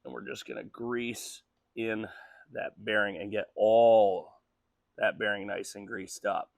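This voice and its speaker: A man's voice: on the low side, measured pace, gravelly, no echo, clean studio sound. A man hosting a truck restoration vlog, talking casually with ums and small laughs while he loses his voice. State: losing his voice from postnasal drip. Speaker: host of a truck restoration channel. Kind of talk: casual how-to workshop vlog.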